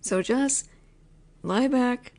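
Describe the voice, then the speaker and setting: Slow, soft-spoken young woman. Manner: soft, low and lingering, slipping into whispers. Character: possessive, teasing young woman roommate. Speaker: voice actor in an audio roleplay. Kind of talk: intimate audio roleplay, speaking to a listener.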